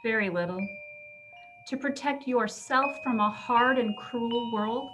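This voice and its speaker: A woman acts a gentle young Victorian fiancée, earnest and quietly resolute. She speaks slowly in a fairly high voice, sad and measured. Music plays clearly behind her. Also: natural voice. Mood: sorrowful, resolute. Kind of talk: dramatic reading of a Victorian Christmas story.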